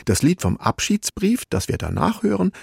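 A man reads a scripted music feature as a radio presenter, in a measured, explanatory manner. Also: high-pitched, huge pitch swings, gravelly, darkish tone, husky, no room sound, clean studio sound.

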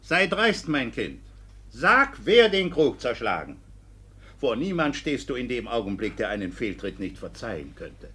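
A formal court councillor, husky and mid-pitched. He questions with polite scepticism and firm insistence, his intonation very lively, in classic radio drama. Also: unhurried, exaggerated voice. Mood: firm, coaxing. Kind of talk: classic German stage drama as radio play.

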